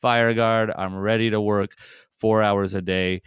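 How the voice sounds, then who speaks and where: A man's voice: on the low side, flat delivery, unhurried, clean tone, dry recording. A man co-hosting a podcast, chatting casually and laughing often at the jokes. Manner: laughing, joking. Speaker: podcast co-host.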